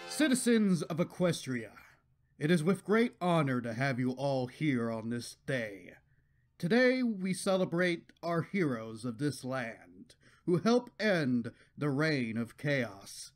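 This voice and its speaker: A man's voice: fairly high pitch, sing-song, takes it slowly. A man voicing a stately cartoon pony king in a grand, formal, proclaiming manner, with music behind. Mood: proud, ceremonial. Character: stately male pony king and father addressing his subjects. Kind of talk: animated cartoon ceremonial speech.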